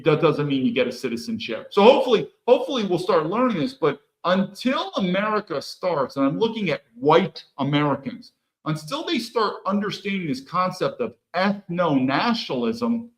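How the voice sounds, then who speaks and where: A man's voice: fairly high pitch, poor audio. A man hosting a podcast, talking bluntly and emphatically in solo commentary and acting out imagined exchanges. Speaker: podcast host.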